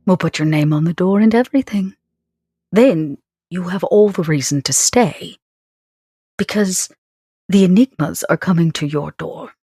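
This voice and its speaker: Woman playing a low-voiced scheming vampire businesswoman. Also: mellow, husky, no room sound, clean studio sound. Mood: coaxing, deliberate. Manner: deliberate, pausing, formal.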